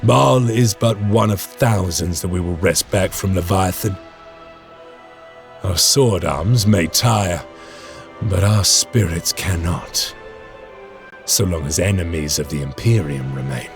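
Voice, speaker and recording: A gravelly veteran war lieutenant. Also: male voice, sits low, slow pace. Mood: solemn. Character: stern veteran male space marine lieutenant. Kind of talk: video game cutscene acting. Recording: game audio over music.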